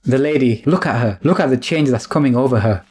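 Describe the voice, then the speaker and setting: A man acts a respectful, easily astonished assistant in a radio mystery. His voice sits at a medium pitch. He speaks with hushed deference in old radio drama manner. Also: fairly quick, over a noisy crowd, lightly acted. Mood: anxious, deferential. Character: awestruck, deferential manservant companion to an investigator. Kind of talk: classic radio drama acting.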